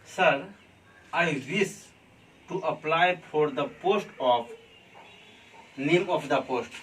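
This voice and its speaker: A man with an Indian accent teaches a classroom lesson at an unhurried, measured pace, pausing to check in with the class. His voice sits a bit high for a man. He is picked up from some distance, with a faint hum of room ambience behind him. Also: a bit bright. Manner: slow and deliberate, keeps checking in with "okay?". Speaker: college teacher. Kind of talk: classroom lesson on letter writing.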